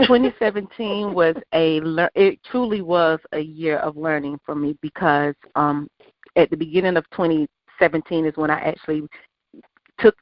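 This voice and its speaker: A woman's voice: deep voice, no echo. A woman who is a guest author on a faith talk radio show, speaking in a reflective, affirming way. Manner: affirming, reflective, frequent "yes". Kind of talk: casual faith talk interview. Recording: dry, dull lo-fi line.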